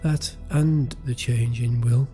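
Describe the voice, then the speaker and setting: A man's voice: mid-range voice, steady tone, slow pace, warm tone, soft background music, natural voice. A man playing a defensive, grumbling village vicar in an audio drama.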